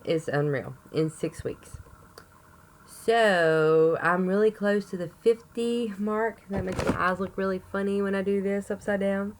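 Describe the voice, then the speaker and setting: A woman with a hoarse voice from a chest cold, rambling chattily to camera in a casual update video with plenty of ums. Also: mid-range voice, measured pace, a little hiss or hum. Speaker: vlogger.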